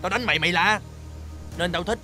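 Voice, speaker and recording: A man's voice: high voice, a little fast, pushed and bright, faint music behind. An actor playing a brutish, sadistic servant henchman with a southern Vietnamese accent, barking bullying questions over and over in a dramatic period style.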